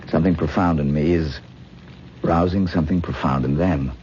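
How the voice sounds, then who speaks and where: An actor playing a famous, self-pitying stage actor who gives lofty, melodramatic speeches in a 1970s radio drama. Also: male voice, very deep voice, normal speed, darkish tone. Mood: impassioned. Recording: dull old radio broadcast with faint hiss.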